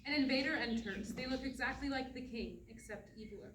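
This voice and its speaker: A woman's voice: sits high, a little fast. A woman reading stage directions as scripted narration at a staged play reading. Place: indoor studio theatre. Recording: roomy, distant, clearly noisy pickup.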